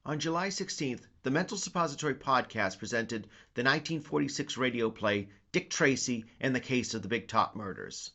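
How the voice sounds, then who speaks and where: A man's voice: mid-range voice, animated, normal speed, hardly any pauses, sharp tone, dull audio. A man hosting a podcast, reading a scripted introduction. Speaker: podcast host.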